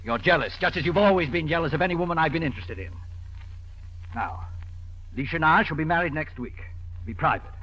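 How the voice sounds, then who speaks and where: Proud, animated radio-drama husband. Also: male voice, fairly high pitch, fast, long pauses, highs cut off. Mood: indignant, defensive. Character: proud, infatuated rich husband, defensive with his mother. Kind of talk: 1940s radio drama acting. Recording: noisy vintage radio broadcast.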